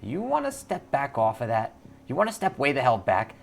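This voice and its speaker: A man's voice: medium pitch, huge pitch swings, normal speed, creaky, pitched above the performer's own voice, exaggerated voice. A man voicing a twitchy orc drug dealer in a game, with repetitive, slangy sales patter. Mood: menacing.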